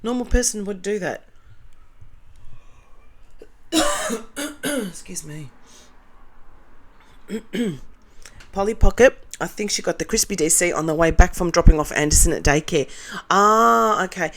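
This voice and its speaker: Croaky, nasal Australian woman. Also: fairly low pitch, lively intonation, a little slow, long pauses, fairly bright tone, slight background noise. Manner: blunt and sarcastic, with asides. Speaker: commentary vlogger. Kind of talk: casual commentary reading comments.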